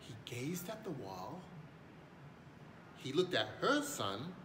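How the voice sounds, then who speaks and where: A man in his forties reading a picture book aloud with dramatic pauses, putting on voices for characters. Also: mid-range voice, very animated, fairly bright tone. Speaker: school staff member reading aloud. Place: indoor room at a school. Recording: echoey room, clear background noise.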